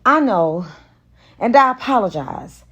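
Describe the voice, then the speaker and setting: A woman's voice: fairly high pitch, expressive, a little slow, fairly bright tone, breathy edge, pitched above the performer's own voice, full character voice. A woman narrator voicing a smitten, conciliatory man courting someone in an audiobook romance. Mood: sincere.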